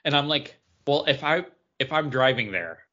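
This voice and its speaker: A man's voice: mid-range voice, lively intonation, normal speed, sharp tone, muffled recording. A man chatting casually as a podcast co-host, telling stories in a rambling, meandering way. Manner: rambling storyteller. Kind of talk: casual chat.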